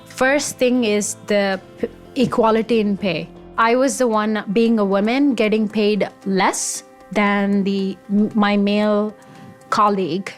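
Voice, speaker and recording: A woman's voice: mid-range voice, steady tone, slow, soft background music. A woman in her thirties from India, an automation engineer, talking about her career in an enthusiastic, conversational way. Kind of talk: career interview monologue.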